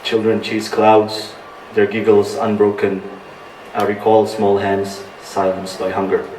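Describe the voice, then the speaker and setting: A man speaks at a poetry open mic. His voice is on the low side and his delivery is slow and earnest. He is picked up from a distance in an echoing bar room. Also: completely flat delivery, a little mellow. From Indonesia. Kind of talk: live poetry reading with halting spoken introduction. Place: indoor bar venue at a live open-mic night. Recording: roomy, distant mic, faint background noise.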